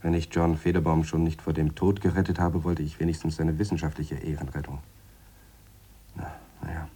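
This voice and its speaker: Wry male scientist, deep voice, husky edge, dry measured explanations, radio play. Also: little pitch movement, dark tone. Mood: matter-of-fact. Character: Harry, deliberate, wry male scientist explaining his theories. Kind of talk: radio play dialogue in a reflective, lecturing tone.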